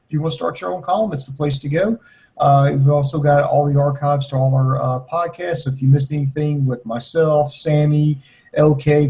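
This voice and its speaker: A man's voice: a little high, darkish tone, low-quality recording. A man co-hosting a wrestling podcast in casual chat, answering listener questions in a blunt, joking way. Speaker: podcast co-host.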